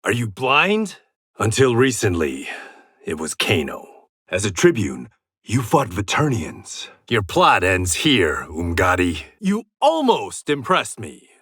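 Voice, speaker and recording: A man's voice: lowish voice, wildly swooping pitch, slow, a bit bright, breathy edge, dry recording, studio quality, full character voice. An actor playing a proud warrior and former gangster in a video game, confident and terse, with a sardonic edge to his short fight taunts. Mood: cool, confident.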